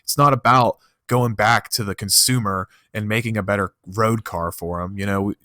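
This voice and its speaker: Man, fairly low voice, forceful bright tone, podcast co-host, casual racing talk, dry recording. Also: steady tone, normal speed, no room sound. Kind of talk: casual chat.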